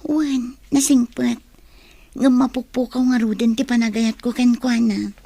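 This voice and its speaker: A young student in an audio drama, speaking in a fairly high voice. She is troubled, evasive and caught up in her thoughts. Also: level tone, measured pace, vocal fry, warm tone, dry recording. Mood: troubled, resigned. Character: young female student, troubled and evasive about fading love. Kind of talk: Filipino radio drama acting.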